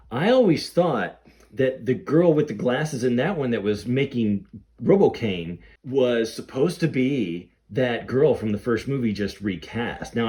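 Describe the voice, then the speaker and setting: Man, middle-aged, podcast host, excitable fan chat, animated intonation, medium pitch. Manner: excitable, gushing fan talk. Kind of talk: casual chat between film fans.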